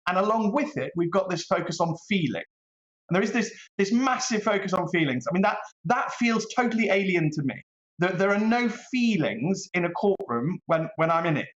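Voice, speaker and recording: A man's voice: sits high, expressive, normal speed. A British man giving opinionated commentary as an interview guest, articulate and emphatic, repeating phrases for effect. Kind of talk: opinionated current-affairs interview. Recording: echoey room, slightly dull sound.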